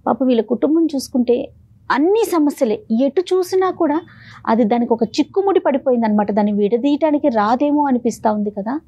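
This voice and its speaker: A woman's voice: high-pitched, lively intonation, brisk, mellow, slightly rough, dry recording, dull audio. A woman hosting an interview, asking questions and commenting with sympathy. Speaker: show host interviewing a family and a doctor.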